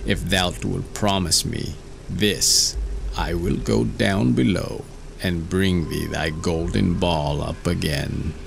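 A man voicing a pleading talking frog in a fairy tale, with archaic, measured, bargaining speech. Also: low-pitched, vocal fry, background noise, heavily acted.

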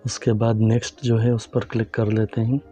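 A man calmly narrating a step-by-step phone tutorial and talking to viewers as friends. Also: fairly low pitch, fairly quick, soft background music. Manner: calm, instructive, addresses viewers as friends. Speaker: tech tutorial host.

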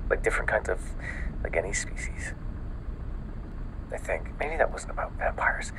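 A man playing a panicked, exhausted newly turned vampire in an ASMR audio roleplay, stammering and often dropping into a breathy whisper. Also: lowish voice, lively intonation, background noise. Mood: frustrated, uneasy. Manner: halting, stammering, breathy sighs and frequent swearing.

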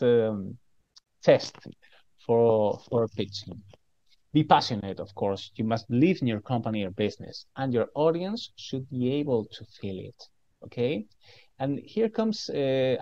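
A man with a strong Spanish accent gives a relaxed, chatty slide lesson, repeating himself and checking in with his listeners. His voice is mellow and dark. The sound is dry and a little dull. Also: mid-range voice, no echo. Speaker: pitch trainer. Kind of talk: voice-over for a slide tutorial.

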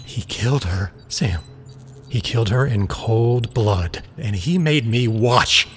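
An actor playing an obsessed, ruthless scientist in an audio drama, commanding and insistent. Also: male voice, medium pitch, lively intonation, takes it slowly, faint music behind. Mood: grim obsessed. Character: obsessed, ruthless man scientist, bent on vengeance.

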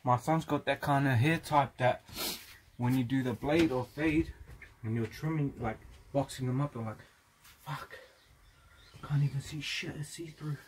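A man's voice: medium pitch, a little slow, a little hiss or hum. A young man giving a casual step-by-step barber tutorial while cutting hair in a parked van, rambling and joking, with the odd swear.

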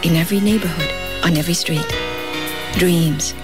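A woman's voice: fairly low pitch, steady tone, normal speed. A woman giving a scripted commercial narration as an announcer. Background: music.

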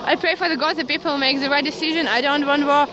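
A young Ukrainian woman with a Ukrainian accent and a high voice reports live into her phone from a cold street. Her English is halting, full of restarts. The sound is dull and lo-fi, with a loud crowd all around her. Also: steady tone. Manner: halting non-native English, many restarts. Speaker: citizen journalist. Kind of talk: live street stream commentary. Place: outdoors on a cold city street at barricades. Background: crowd of protesters.

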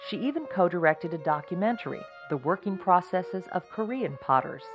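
A woman narrating a documentary in a measured, scripted way. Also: on the low side, lively intonation, soft background music.

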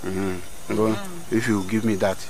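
A man's voice: on the low side, flat delivery, a little slow, a little mellow, close to an everyday voice. A man with a Nigerian Pidgin-inflected accent playing an eager, lovestruck village hunter who stammers and pleads. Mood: pleading.

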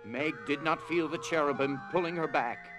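A man narrating a scripted drama as storyteller, with grave, deliberate phrasing. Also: fairly high pitch, over music.

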